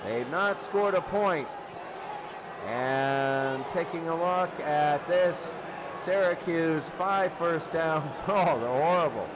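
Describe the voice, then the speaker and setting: A man's voice: fairly high pitch, takes it slowly. A man doing live play-by-play football commentary and recaps in short, clipped, repetitive phrases. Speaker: play-by-play announcer. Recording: noisy, crowd roaring behind the voice. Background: loud stadium crowd noise.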